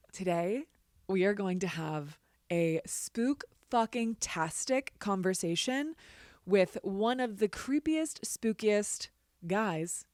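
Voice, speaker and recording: A woman hosting a podcast, her voice hoarse from getting sick, chatting casually and laughing often. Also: mid-range voice, animated, takes it slowly, a little mellow. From Ohio, US. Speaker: podcast host.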